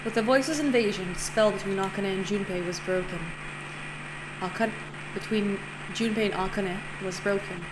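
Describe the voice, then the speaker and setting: A woman streamer reading a visual novel aloud, narrating lively with playful asides and self-corrections. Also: medium pitch, moderate pace. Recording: noisy home stream recording.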